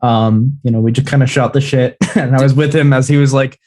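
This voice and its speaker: A man's voice: medium pitch, steady tone, brisk, darkish tone, no echo. A man who produces music, chatting in a laid-back way as a podcast guest and laughing easily. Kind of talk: casual chat.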